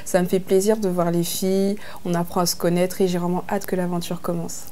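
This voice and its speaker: A shy woman from Brazzaville talks to the camera in a reality-show confessional. She is soft-spoken, and her voice sounds dark and mellow. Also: lowish voice, little pitch movement, brisk. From Republic of the Congo, Brazzaville.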